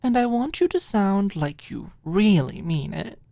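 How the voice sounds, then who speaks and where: A woman's voice: mid-range voice, sing-song, measured pace, vocal fry, dark tone, dry recording, voice pushed up. A woman voicing a bubbly, tipsy, teasing young woman in audiobook dialogue, with a giggly, playful manner. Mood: playful, daring.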